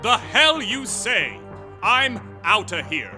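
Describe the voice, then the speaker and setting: An actor playing an indignant, smart-mouthed soldier hero in a comedy audio drama. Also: male voice, sits high, sing-song, slow pace, music in the background, full character voice. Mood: alarmed. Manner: indignant, sassy, plain-spoken.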